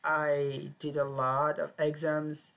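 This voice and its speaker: A woman telling her own life story in plain, simple sentences with short pauses. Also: sits low, flat delivery, creaky. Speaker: personal storyteller. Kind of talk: first-person life story.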